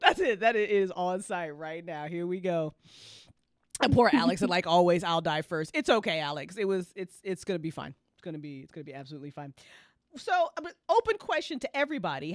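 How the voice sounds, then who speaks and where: A woman's voice: on the low side, big pitch swings, a little fast, no room sound, clean studio sound. A woman hosting a casual after-show chat, playful and gushing, repeating phrases for emphasis as she questions her guests. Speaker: host and show creator.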